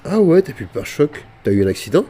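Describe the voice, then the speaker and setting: A man's voice: a little high, sing-song, quick pace, mellow, slight background noise. A man in casual, mocking banter with slang and swearing, trolling in an online roleplay game. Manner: mocking, cheeky.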